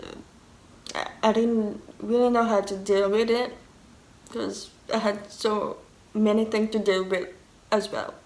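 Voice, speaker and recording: A woman's voice: medium pitch, reverberant. A woman in her twenties from Canada, a native French speaker with a French and deaf accent, talking candidly in a casual vlog. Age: 20s. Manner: candid, fillers and restarts, quoting others. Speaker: vlogger.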